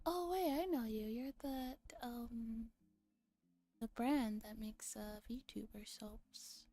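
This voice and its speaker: A woman hosts a relaxed visual novel livestream in a slightly high, gently mellow voice. She chats in a casual, giggly ASMR style, teasing and bantering. Light music plays softly behind her. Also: slow pace. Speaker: streamer host reading a visual novel aloud. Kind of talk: casual livestream chat mixed with game read-aloud, ASMR.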